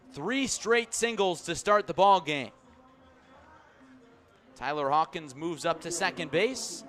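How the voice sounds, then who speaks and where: A man calling baseball live on the radio as play-by-play announcer, measured between pitches and excitable on big plays. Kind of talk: live radio sports commentary.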